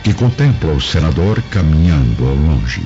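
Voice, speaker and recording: A man's voice: extremely low pitch, very animated, moderate pace. A man giving dramatic scripted narration for a radio drama. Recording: dull lo-fi audio with music.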